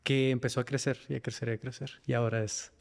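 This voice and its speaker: A man's voice: mid-range voice, level tone, fast talker, creaky, a little mellow, long reverb tail. A man with a northern Mexican accent speaking reflectively about himself and his work as an interview guest. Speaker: podcast guest, men's mental health facilitator. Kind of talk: reflective personal interview talk.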